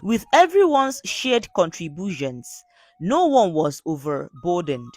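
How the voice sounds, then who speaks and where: Woman storyteller with lively, swooping intonation. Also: lowish voice, unhurried, dry recording, soft background music. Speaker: storyteller narrator. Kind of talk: scripted folktale narration.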